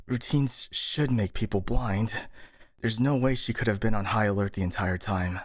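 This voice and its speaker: Skeptical, flustered male ninja voiced by a man for ASMR audio drama; lowish pitch, sighing delivery, very dry close sound. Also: steady tone, measured pace, no echo. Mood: worried.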